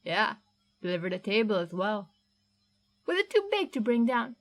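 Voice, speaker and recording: A woman voicing a pair of hulking, jovial delivery men with gruff Cockney accents in a podfic reading. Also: medium pitch, sing-song, normal speed. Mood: curt, cheerful.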